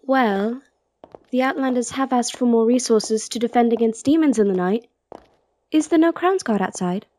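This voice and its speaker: A woman's voice: fairly high pitch, moderate pace, a little mellow, close to an everyday voice. A woman playing an earnest, thoughtful young princess in an audio drama, polite and articulate. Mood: curious, earnest. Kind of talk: audio drama acting and first-person narration.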